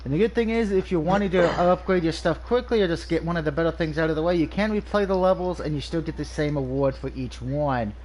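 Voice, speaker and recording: A man's voice: on the high side, fast, few pauses, darkish tone, rough recording. Nasal man reviewing a video game. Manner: rambling, frequent ums and restarts. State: nasal from allergies. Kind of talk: casual game review over gameplay.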